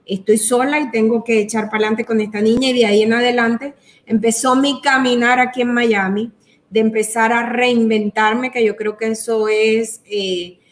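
Venezuelan woman, middle-aged, interview guest; earnest, flowing delivery, a touch high. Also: fairly even intonation, moderate pace. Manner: earnest, talks in long flowing runs with "o sea" and "entiendes". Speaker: guest, entrepreneur. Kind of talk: interview conversation.

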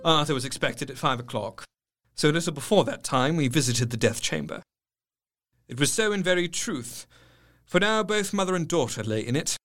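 An actor playing a sorrowful, earnest doctor who narrates a gothic tale in measured, literary phrases. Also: male voice, on the high side, animated, fairly bright tone, soft background music. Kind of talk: dramatic scripted narration.

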